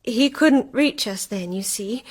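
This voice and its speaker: An actress in a radio drama playing an anxious, stricken wife who speaks in a hushed, intense way. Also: female voice, on the high side, lively intonation, takes it slowly. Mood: hushed dread. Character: anxious, stricken wife uncovering a ghostly truth.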